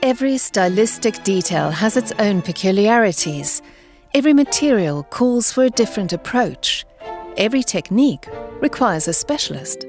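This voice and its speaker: A woman delivers scripted narration for a documentary. Her mid-range voice is measured and explanatory, with music playing clearly underneath. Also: mid-range voice, expressive, darkish tone. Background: background music.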